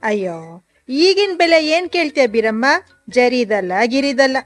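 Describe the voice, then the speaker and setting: A woman's voice: sits high, sing-song, moderate pace, soft background music. A woman playing a chatty fifties housewife. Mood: rueful. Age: 50s. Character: middle-aged housewife, proper and chatty. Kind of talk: radio drama acting.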